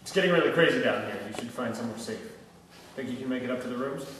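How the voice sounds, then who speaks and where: Guy as cool unflinching tough guy, quick talker, big echoey room, script read. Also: mid-range voice, fairly bright tone, lightly acted. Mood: cool, protective. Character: cool, manly, unflinching young male fighter and protector. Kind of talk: informal script table read. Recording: very echoey, faintly noisy room.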